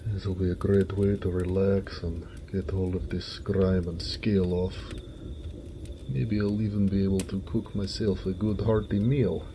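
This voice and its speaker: A man voicing a gentle, hardworking orc blacksmith in an audio roleplay, weary and good-natured, musing to himself in a homely way. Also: low voice, level tone, unhurried, noisy background. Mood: content, musing.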